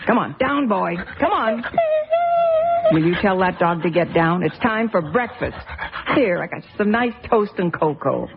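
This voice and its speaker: A woman's voice: lowish voice, expressive, a little slow. An actress playing a worried mother in a radio drama, firm and scolding but caring toward her sick son. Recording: dull lo-fi old radio recording.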